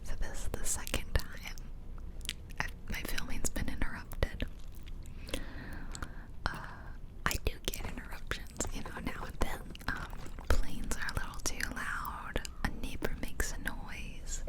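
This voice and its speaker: A woman whispering softly and breathily in a chatty, confiding ASMR style, close to the microphone. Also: a little slow, rough recording. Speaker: ASMR video creator. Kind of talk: whispered ASMR casual storytelling. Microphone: close ear-to-ear ASMR microphone.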